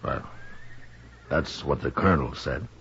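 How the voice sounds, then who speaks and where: An actor playing a steady, protective Old West marshal in 1950s radio western style, plainspoken and laconic. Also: male voice, rumbling low, unhurried, creaky, slightly rough, exaggerated voice. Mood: curious, measured. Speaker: lead actor and narrator. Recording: dull vintage radio recording with music.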